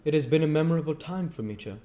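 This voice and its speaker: A man voicing an earnest, affectionate young gentleman from a storybook, grateful and well-spoken. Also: on the high side, animated, fast, voice pushed up, close to an everyday voice. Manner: earnest, affectionate, educated phrasing.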